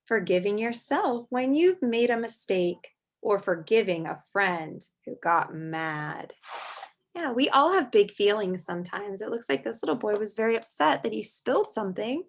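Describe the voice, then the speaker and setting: A woman's voice: mid-range voice, expressive, normal speed. A woman teacher reading a picture book aloud to young children, expressively and in simple words, with chatty asides.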